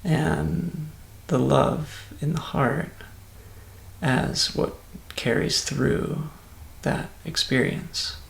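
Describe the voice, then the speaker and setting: A man softly and calmly guiding a meditation in an ASMR style, in measured phrases that sometimes drop to near-whisper. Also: a little high, roomy sound. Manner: soft-spoken, breathy near-whisper at times, calm and soothing. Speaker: meditation guide.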